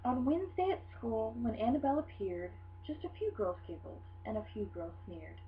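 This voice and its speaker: A woman reading a children's picture book aloud in an expressive, dramatic storytelling style. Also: a little high, normal speed. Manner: animated, dramatic storytelling delivery. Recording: dull lo-fi sound with hum.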